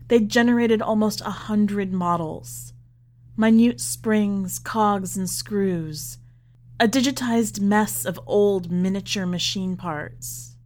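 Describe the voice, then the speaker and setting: A woman reading literary fiction aloud as scripted narration, with measured, deliberate phrasing. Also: mid-range voice. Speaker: podcast host reading a short story.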